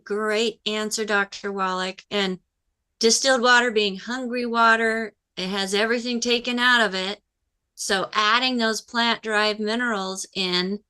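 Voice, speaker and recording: A woman's voice: on the high side, bright, forceful tone, clean tone. A woman co-hosting a call-in health show, reading listener questions and speaking upbeat to the audience.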